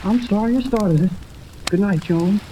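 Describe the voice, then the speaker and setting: An eager, good-natured teenage boy played in a woman's register in a vintage radio adventure. The delivery is breezy, slangy and exclamatory, with clear hiss over the recording. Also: mid-range voice, moderate pace, close to an everyday voice. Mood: curious, boyish. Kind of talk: 1930s radio serial acting. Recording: noisy, hissing 1930s radio recording.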